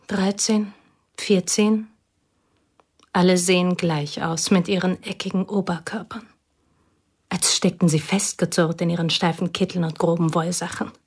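An actress playing a provincial woman recalling an old school friend, speaking quietly and wistfully in a radio drama. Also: lowish voice, steady tone, unhurried, breathy edge. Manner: quiet, wistful, partly whispered.

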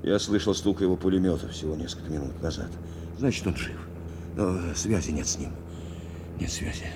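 An actor playing a steadfast, protective bomber navigator in a war radio drama, speaking with earnest insistence. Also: male voice, low voice, animated, moderate pace, gravelly, background noise. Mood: controlled, concerned. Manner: insistent, repeating short phrases. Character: steadfast male bomber navigator, urging and protective of his commander. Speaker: actor, also narrating in the first person.

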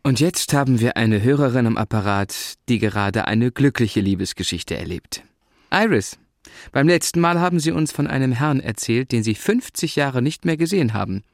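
An actor playing a gentle, courteous radio host in his thirties in a radio drama, speaking earnestly. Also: medium pitch, expressive, normal speed, no echo, clean studio sound, close to an everyday voice. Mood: friendly, curious. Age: 30s.

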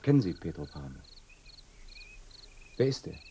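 A man's voice: sits low, very animated, moderate pace, background noise. An actor playing an earnest, puzzled traveller searching for his father in a radio play, with a questioning manner. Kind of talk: German radio play acting.

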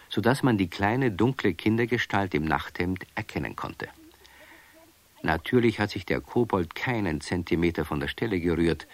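An actor as the storyteller of a children's radio play, narrating from a script and addressing young listeners. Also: male voice, sits low.

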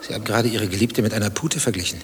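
A man's voice: on the low side, racing, a little hiss or hum, lightly acted. An actor playing a nosy, stubborn male stranger in a satirical German radio play, dry, probing and provocative.

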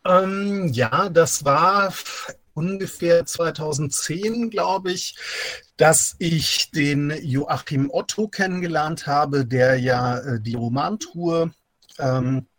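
A middle-aged man, chatting casually as an interview guest, halting with many filled pauses. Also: fairly high pitch, a bit bright. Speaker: interview guest, audio drama writer.

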